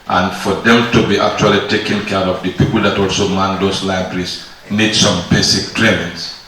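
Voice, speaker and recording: Man, low but forceful voice, formal workshop question, distant and echoing. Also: level tone, normal speed. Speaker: workshop participant asking a question. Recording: echoey room, distant mic, faint noise.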